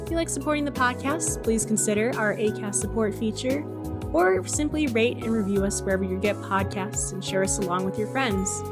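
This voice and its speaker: A woman with a mid-pitched voice delivers a scripted podcast outro. Her manner is playful and wry, with clear music playing behind her. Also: brisk. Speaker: podcast creator reading credits.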